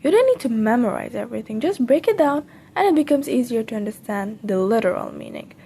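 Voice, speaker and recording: A woman's voice: big pitch swings, quick pace, a little hiss or hum. A young woman whose first language is Persian, giving casual, upbeat tips on studying the Quran. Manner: upbeat and chatty, with sudden bright lifts in pitch. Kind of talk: casual instructional vlog.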